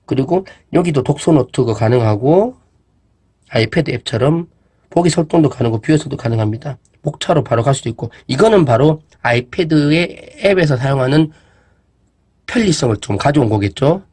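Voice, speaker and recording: A man's voice: medium pitch, brisk, slightly rough. A man casually reviewing an e-reader, chatting and thinking aloud as he shows it off. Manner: relaxed, chatty, thinks aloud with fillers and asides. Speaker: product reviewer. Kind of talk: casual product demo vlog.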